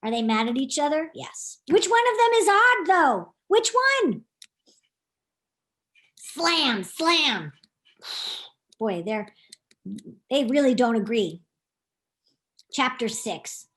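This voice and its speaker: A woman reads a children's story aloud as its author. Her voice is high, with wide, lively swings in pitch. She is expressive and turns to the young listeners with questions as she goes. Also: takes it slowly, pauses often. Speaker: author reading her own book. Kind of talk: animated children's storytime narration.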